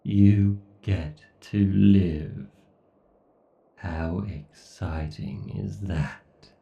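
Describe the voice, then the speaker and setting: Slow, dark, obsessed AI god. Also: male voice, low voice, vocal fry, echoey room, distant mic, lo-fi sound, full character voice. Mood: gloating, menacing. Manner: slow, menacing, theatrical, possessive. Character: obsessed, sadistic, godlike male AI tormenting its captive human. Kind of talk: horror ASMR roleplay acting.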